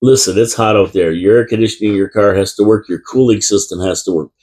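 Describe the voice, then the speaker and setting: Husky low-voiced radio host. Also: male voice, fairly even intonation, quick pace, darkish tone. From Canada. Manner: rambling, chatty, many restarts and fillers. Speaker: radio talk show host. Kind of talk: casual live radio talk. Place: small radio studio. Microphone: studio microphone.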